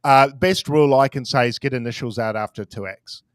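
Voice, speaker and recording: A forty-year-old man in relaxed podcast conversation, candid and self-deprecating with casual swearing. His voice sits at a middle pitch and sounds close and dry, with little room around it. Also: brisk, smooth, no room sound. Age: 40s. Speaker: crypto trader guest.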